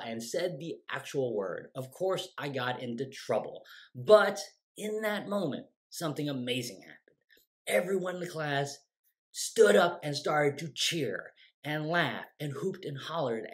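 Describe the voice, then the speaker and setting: A playwright in his forties telling a story casually to camera from a room at home, animated and quick to act out his younger self. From United States. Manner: animated, gestures a lot, quotes his younger self with lively shifts in pitch. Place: home room with bookshelves and windows. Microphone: close webcam framing.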